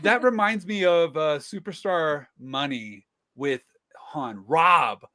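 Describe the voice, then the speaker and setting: A man's voice: on the high side, slow pace, bright, forceful tone. A man co-hosting a casual livestream, playful and joking, telling a story. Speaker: livestream co-host.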